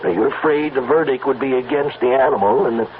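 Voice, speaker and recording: An actor playing a grave, paternal country judge, measured and admonishing, in an old-time radio drama. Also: male voice, mid-range voice, lightly acted. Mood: stern. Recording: tinny, noisy old radio recording.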